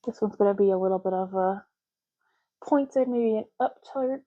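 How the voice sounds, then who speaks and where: A woman doing a soft-spoken ASMR roleplay as a clerk, explaining options in a casual, chatty way. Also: mid-range voice, normal speed, narrow, tinny audio. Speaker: ASMR creator playing a clerk making ID cards.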